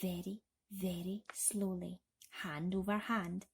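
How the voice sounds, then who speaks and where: Expressive woman reading a storybook. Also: lowish voice, gravelly, a little hiss or hum. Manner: dramatic, slows for suspense. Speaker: narrator reading bedtime stories.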